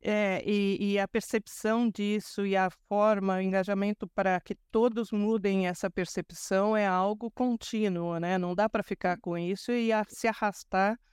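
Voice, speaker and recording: A woman's voice: medium pitch, fairly even intonation, moderate pace, hardly any pauses, darkish tone, smooth, no echo, studio quality. A woman hosting a podcast, asking questions conversationally with short affirmations. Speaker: podcast host. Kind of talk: interview questions.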